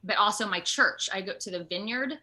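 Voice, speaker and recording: An American woman from Ohio talking casually as an interview guest, earnest and animated, rambling from point to point. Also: medium pitch, a little fast. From Ohio, United States. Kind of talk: casual online interview chat.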